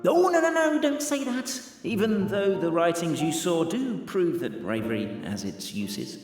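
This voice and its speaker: An actor playing a wry, talkative, politely chiding guide in a video game. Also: male voice, a little high, very animated, unhurried, a little mellow, echoey room, in a large hall, faint music behind. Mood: alarmed. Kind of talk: video game character acting.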